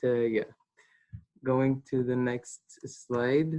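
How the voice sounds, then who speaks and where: A nineteen-year-old man from Palestine presenting a webinar as a student, hesitant and pausing often. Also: mid-range voice, little pitch movement, warm tone. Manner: hesitant, with many pauses.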